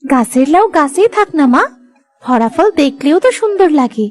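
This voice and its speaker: A woman's voice: high-pitched, very animated, normal speed, darkish tone, soft background music. A woman playing a tender, sensitive young village daughter-in-law in a cartoon, with a sweet, childlike, pleading manner. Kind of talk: animated moral story acting.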